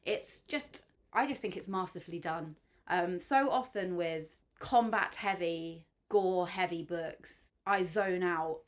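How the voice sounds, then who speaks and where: A woman's voice: lowish voice, measured pace. A woman talks through a book she loves in a gushing, chatty way. Her speech is loose and full of ums and likes as she reviews it for her channel. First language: English. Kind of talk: enthusiastic spoken book review.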